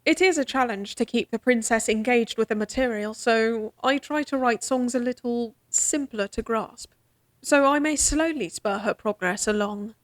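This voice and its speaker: A woman's voice: a little high, moderate pace, no room sound, natural voice. An actress playing a proud young lady-in-waiting and court musician in a fantasy audio drama, formal and a bit exasperated. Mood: candid. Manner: crisp, formal, slightly exasperated.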